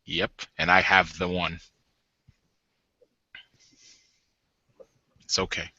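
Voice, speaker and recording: A man playing a stern werewolf warden with a softer, wry side, speaking in a measured, halting way in a tabletop roleplay. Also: sits low, level tone, no room sound, dull audio, pitched below the performer's own voice, heavily acted. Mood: reassuring.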